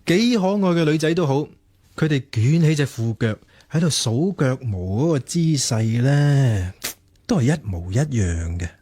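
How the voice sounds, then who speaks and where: An earnest young student programmer with a mid-pitched voice and a Hong Kong Cantonese accent. He is lovelorn and wryly self-mocking, with a sighing delivery suited to radio drama banter. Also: lively intonation, measured pace, mellow, husky. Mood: rueful.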